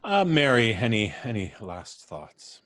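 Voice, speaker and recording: Very deep-voiced man hosting a live stream. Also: very animated, a little slow, fairly bright tone, no echo, soft background music. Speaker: host of a live podcast stream. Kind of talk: unscripted closing remarks to a cast and audience.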